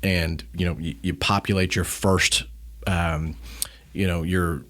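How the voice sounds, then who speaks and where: Very deep-voiced man chatting informally. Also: little pitch movement, unhurried, a bit bright. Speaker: podcast guest and media company founder. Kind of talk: casual conversational interview storytelling.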